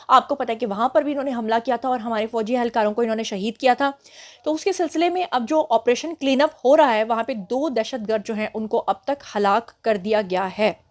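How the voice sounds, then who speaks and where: A young woman with a Pakistani Urdu accent runs through the day's headlines as a channel host, her voice a little higher than most. She has a sore throat and keeps her pace slow and careful to spare it. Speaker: news show host. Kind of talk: casual news roundup for a channel.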